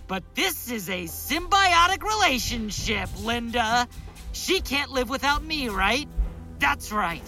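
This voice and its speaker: A man's voice: sits high, sharp tone, exaggerated voice. An actor playing a paranoid, sardonic man unravelling as he records a log of his psychedelic self-experiment in an audio drama. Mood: frantic. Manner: agitated, muttering, sardonic. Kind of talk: audio drama monologue, spoken case-study log.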